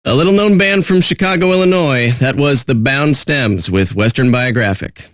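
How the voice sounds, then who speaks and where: An actor playing a jaded pirate radio DJ with a sardonic, blunt, profane way of talking. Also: male voice, medium pitch, moderate pace, dry recording, clean studio sound, close to an everyday voice. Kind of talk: irreverent radio DJ patter.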